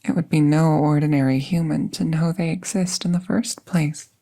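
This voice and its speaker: Gentle fae vet, played by a woman, ASMR roleplay, breathy and whispery, soft dark tone, creaky edge, soothing, formal wording. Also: deep voice, steady tone, moderate pace. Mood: gentle, wry. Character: gentle, playful fae woman veterinarian tending a wounded werewolf. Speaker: audio roleplay performer.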